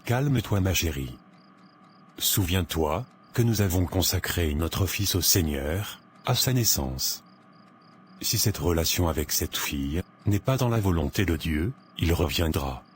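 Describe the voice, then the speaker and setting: Deep, soothing pastor father played by a man. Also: expressive, a little hiss or hum. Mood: reassuring. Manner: soothing, measured. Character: calm, reassuring pastor father with steady faith.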